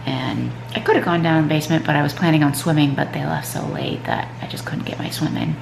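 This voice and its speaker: A woman's voice: deep voice, fast, echoey room, noisy background. A stuffed-up woman vlogging casually at home in the morning, rambling with lots of "um" and "like". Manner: rambling, frequent "um" and "like". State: stuffed-up nose. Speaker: vlogger, mom and triathlete. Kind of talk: casual chatty vlog. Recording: clear background music under voice.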